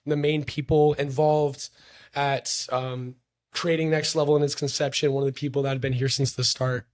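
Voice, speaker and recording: A young man hosting a casual interview, talking loosely with many fillers and restarts. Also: fairly high pitch. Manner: rambling, lots of fillers and restarts. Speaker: podcast host.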